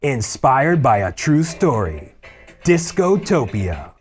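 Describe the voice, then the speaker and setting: A man with a medium-pitched voice reads screenplay directions as a narrator. There is faint music behind his scripted narration. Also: big pitch swings, takes it slowly.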